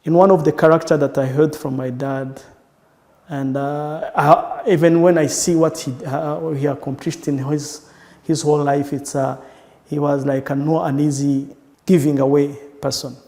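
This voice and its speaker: A man with a Rwandan accent looks back on his family in an interview. His voice sits a little high for a man but sounds soft and mellow, and it trails into a long, ringing reverb. He talks haltingly and often restarts his sentences. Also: level tone. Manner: halting, searching for words with frequent restarts. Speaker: entrepreneur. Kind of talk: personal recollection in an interview.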